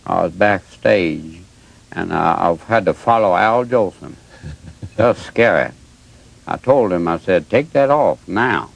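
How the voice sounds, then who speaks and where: A man voicing an elderly, boozy male blues singer who rambles slowly through his memories. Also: sits low, expressive, vocal fry, heavily acted, poor audio. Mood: boozy reminiscing.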